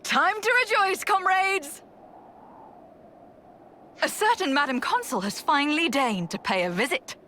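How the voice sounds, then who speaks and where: An actress playing a playful, battle-hungry commander in a video game, with theatrical, teasing, jaunty delivery. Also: female voice, high-pitched, animated, measured pace, pauses often, bright, forceful tone, slight background noise, full character voice. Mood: gleeful. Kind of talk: video game cutscene acting.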